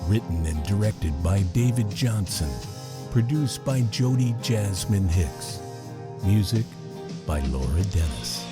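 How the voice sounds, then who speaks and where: A man's voice: on the low side, lively intonation, slow pace. A man reading scripted credits as an announcer. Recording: loud music under the voice. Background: loud plucked guitar music.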